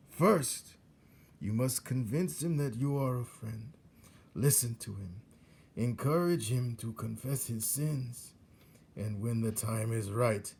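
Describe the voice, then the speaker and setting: A man's voice: medium pitch, vocal fry, a little mellow, husky, pitched above the performer's own voice, heavily acted. A man reads as an ancient storybook rat who delights in cruelty. He lectures with relish, his voice lifted above a narrator's and leaning hard on key words, sly and self-satisfied. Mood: sly lecturing. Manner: lecturing, relishing each word with emphatic stresses. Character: very old, gleefully cruel one-eared male dungeon rat.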